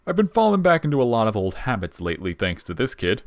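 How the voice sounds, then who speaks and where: A man voicing a wry, laid-back, quietly protective skeleton in an audio story, with casual slangy talk. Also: mid-range voice, sing-song, fast talker, dry recording, voice pushed up. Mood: joking. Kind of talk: dramatic audio fiction character reading.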